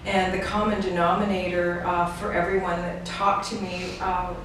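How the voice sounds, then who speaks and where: A middle-aged woman speaks earnestly and reflectively as an interview guest, measuring her words. Her delivery is quite flat in pitch. She is heard from a distance in a very echoey room with clear background noise. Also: normal speed. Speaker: guest, brand ambassador. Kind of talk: personal testimony in an interview.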